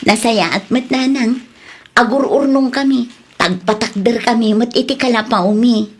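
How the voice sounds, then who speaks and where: A woman acting an earnest grown daughter in a radio drama, with an Ilocano accent and husky voice. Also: fairly high pitch, normal speed, a little hiss or hum, lightly acted. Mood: apologetic, affectionate.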